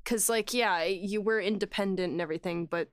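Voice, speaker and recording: A woman co-hosting a podcast, chatting casually with friends in a halting, hedging way. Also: mid-range voice, clean tone, dry recording, clean studio sound. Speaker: podcast co-host and producer. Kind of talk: casual chat among friends.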